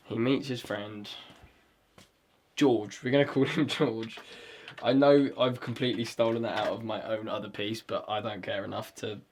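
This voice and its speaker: A man's voice: fairly low pitch, fairly even intonation, moderate pace, slight background noise. A man talking casually as he writes a script, rambling, swearing often and hunting aloud for the right word. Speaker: vlogger writing a script. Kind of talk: casual talk while writing.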